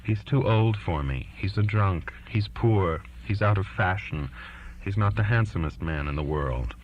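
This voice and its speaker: An earnest twenty-year-old daughter as a male audiobook reader voices her pleads her case to her father, defiant and insistent. The voice is low-pitched, and the recording is muffled and lo-fi. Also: expressive, measured pace, a little hiss or hum. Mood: frank, insistent. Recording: dull lo-fi recording.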